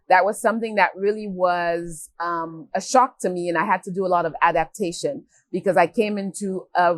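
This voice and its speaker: A woman's voice: mid-range voice, dry recording. Earnest woman, dry close sound. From Haiti. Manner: earnest, with frequent fillers and repeated "in that manner". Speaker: NGO worker. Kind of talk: interview answers.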